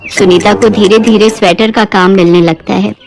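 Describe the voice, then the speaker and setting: A woman's voice: medium pitch, steady tone, normal speed, creaky, a little hiss or hum. A woman narrating a scripted Hindi moral story as a storyteller.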